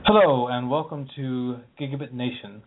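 A man's voice: medium pitch, fairly even intonation, moderate pace, slight background noise. A man hosting a radio talk show, opening the show and interviewing a guest in a conversational, friendly way. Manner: conversational, friendly, with occasional fillers. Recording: phone-line audio.

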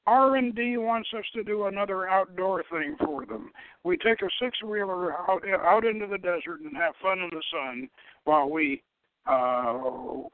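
Man putting on a high breathy flirty secretary. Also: dry recording, phone-quality sound, close to an everyday voice. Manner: breathy, purring, complaining.

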